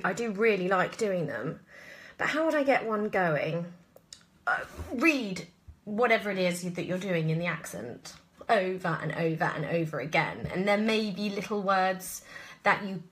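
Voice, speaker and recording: A woman's voice: lowish voice, a bit bright. A woman with an English accent, an actress casually answering audience questions in a live chat, thinking aloud and often hesitating. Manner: hesitant, thinking aloud, frequent throat-clearing.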